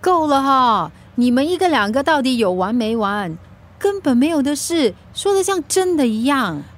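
A young single mother in a radio drama, high and very animated. She is a feisty, defensive woman with a Singaporean accent. She protests in short, snappy bursts, proud and exasperated. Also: normal speed. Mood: indignant.

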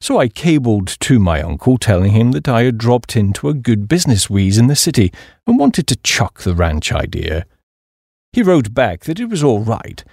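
A man voicing a hapless, dim young upper-class English gentleman who blusters and rambles in slang. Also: fairly low pitch, no room sound, studio quality.

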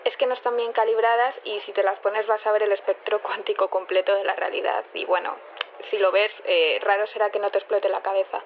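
An actress playing a scatterbrained, chatty engineer with a Spain accent, rambling through a voicemail in an audio drama. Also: female voice, mid-range voice, steady tone, brisk, creaky. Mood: chatty, matter-of-fact. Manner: chatty, rambling, hesitant, colloquial. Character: scatterbrained, friendly female lab engineer leaving community voicemail. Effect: phone filter. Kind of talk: casual voicemail in audio fiction. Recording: tinny phone line with faint noise.

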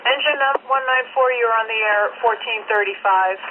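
A woman's voice: a little high, normal speed. A woman working as a fire dispatcher, making formal, procedural emergency announcements over two-way radio and repeating key details. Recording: tinny, noisy emergency scanner radio.

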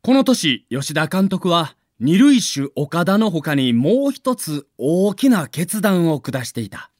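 A man's voice: on the high side, sing-song, slow, no room sound, studio quality. A man narrating a scripted documentary as a story, with dramatic emphasis.

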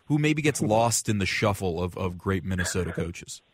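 Male American sports show host, fairly low register, conversational question-setter, dry studio-style sound. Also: expressive, moderate pace, slightly rough, dry recording. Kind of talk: conversational sports talk, posing questions to panelists.

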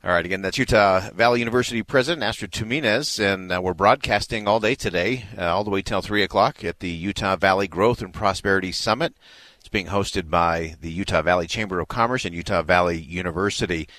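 Deep-voiced male radio host. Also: fairly quick, fairly bright tone, no room sound. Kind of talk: live broadcast interview and commentary.

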